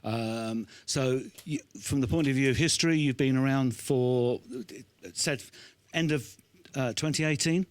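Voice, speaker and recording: A man's voice: medium pitch, a little slow, smooth. A man in his fifties hosting a casual on-stage interview in a chatty, encouraging way, speaking into a handheld microphone. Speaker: host interviewing a young band.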